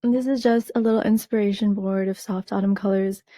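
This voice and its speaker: Woman host, raspy, mellow tone, dry close sound, calm explainer. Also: medium pitch, no echo. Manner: measured, friendly explaining with fillers and restarts. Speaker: color analysis host. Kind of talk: explaining over a slideshow.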